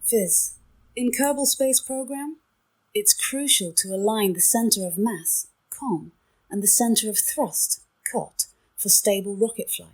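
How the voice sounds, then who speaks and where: Female AI assistant, breathy, medium pitch, formal and helpful explainer. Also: a little slow, rough recording. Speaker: AI voice assistant companion. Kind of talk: clear explanatory briefing, scripted-sounding.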